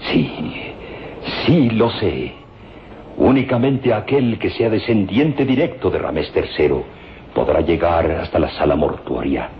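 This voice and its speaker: Radio serial role: obsessed, insistent professor, low and slow with big swings of pitch. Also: male voice, lively intonation, slight background noise. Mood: probing. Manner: dramatic, insistent, weighty delivery. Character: eager, covetous male professor obsessed with ancient tombs. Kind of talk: old radio serial acting. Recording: dull old radio recording, faint music. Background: faint dramatic music.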